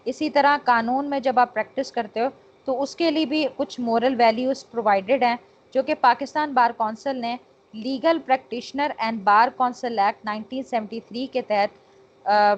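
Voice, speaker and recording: A woman with a Pakistani accent giving an instructional law lecture point by point, mixing in English technical terms. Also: on the high side. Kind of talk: exam-prep lecture, explaining point by point. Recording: dull, lo-fi lecture recording.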